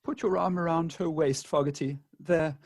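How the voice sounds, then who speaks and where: Jilted gentleman suitor played by an actor, high male pitch, pompous and martyred, dry close sound, theatrical comedy. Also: steady tone, moderate pace, dry recording. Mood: martyred, sarcastic. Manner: pompous, declamatory. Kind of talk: theatrical comic radio play acting.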